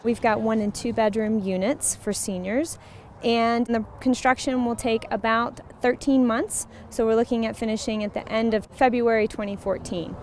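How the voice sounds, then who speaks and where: A woman housing spokesperson talking quickly with a few ums. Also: a little high, fairly even intonation, darkish tone, slight background noise. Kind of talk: interview soundbite.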